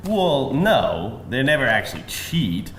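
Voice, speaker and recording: Actor as a defensive smooth-talking man, animated. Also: medium pitch, takes it slowly. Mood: defensive, persuasive. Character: smooth, defensive male recruiter pitching a shady job. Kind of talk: live screenplay table read. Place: live table read before an audience. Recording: roomy live venue, faint noise.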